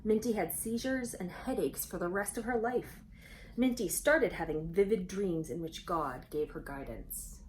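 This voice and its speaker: A woman reading a children's storybook aloud expressively, pausing for conversational asides and questions to young listeners. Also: fairly low pitch, a little mellow, a little hiss or hum, rough recording.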